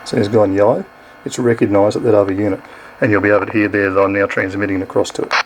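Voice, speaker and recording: A man's voice: fairly low pitch, steady tone, fast talker, slight background noise, rough recording. A man with an Australian accent giving a casual, unscripted product demonstration in an easygoing, explanatory way. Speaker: product reviewer.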